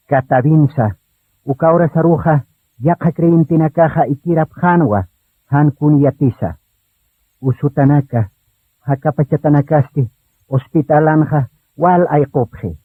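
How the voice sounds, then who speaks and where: A man's voice: on the high side, phone-quality sound. A man reading scripted dramatic narration for a radio drama. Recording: echoey, band-limited radio recording.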